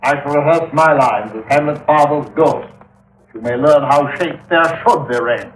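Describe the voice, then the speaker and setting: A man's voice: on the high side, moderate pace, roomy sound, natural voice, low-quality recording. A man playing a pompous, vain old-school actor. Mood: haughty. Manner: grandiose, theatrical, scolding. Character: pompous aging male radio actor, vain and overbearing. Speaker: actor in the broadcast. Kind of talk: 1950s radio drama acting.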